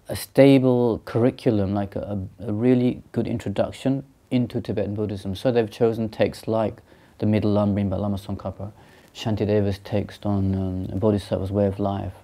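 Low, mellow-voiced monk, thoughtful and halting. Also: male voice. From Sri Lanka, raised in England. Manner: thoughtful, hesitant with many ums and restarts. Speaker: Buddhist monk and teacher. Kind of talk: interview answers. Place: small indoor room by a shrine.

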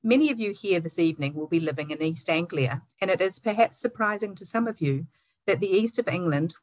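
A middle-aged woman with a New Zealand accent hosting a webinar over a video call, reading a formal, measured introduction. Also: on the low side, no echo, low-quality recording. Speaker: webinar host.

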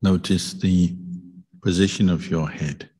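A man with a very low, husky voice guides a meditation. His delivery is calm and very slow, with long pauses between short phrases. The intonation stays flat and gentle, like a patient teacher giving instructions. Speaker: Buddhist monk meditation teacher.

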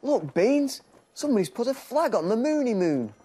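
A man voicing an excitable, playful little girl in a storybook, squealing and exclaiming. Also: extremely high pitch, moderate pace, soft background music, voice pushed up. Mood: excited.

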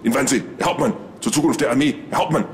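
A man's voice: medium pitch, fast talker, slight background noise, pitched above the performer's own voice, close to an everyday voice. A man voicing a flustered, obedient soldier in a comic audio story, answering in a hasty, servile military manner. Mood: eager, strained.

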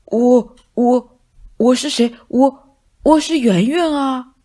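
A woman voicing a big fat male cat in a storybook, blustering and stammering, sly yet soft at heart. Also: sits high, slow pace, pitched above the performer's own voice. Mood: flustered bluster.

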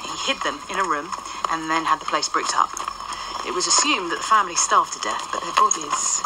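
A woman's voice: sits low, brisk, very noisy, natural voice. An actress playing a sceptical ghost woman, wry and sardonic.

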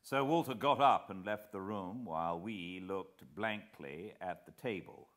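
A middle-aged man with a British accent, an audiobook narrator giving an expressive fireside reading. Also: sits low, a little slow. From Britain. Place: living room beside a fireplace.

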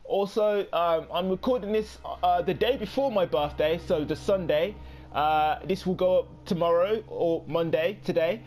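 A young man in his late teens giving casual let's play commentary, rambling with many pauses and quick apologies. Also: high-pitched, darkish tone. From United Kingdom. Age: young adult. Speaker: gaming YouTuber.

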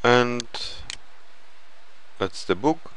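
A man narrating an informal software tutorial in a halting, step-by-step way. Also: medium pitch, lively intonation, clean tone, poor audio. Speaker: programming tutorial presenter.

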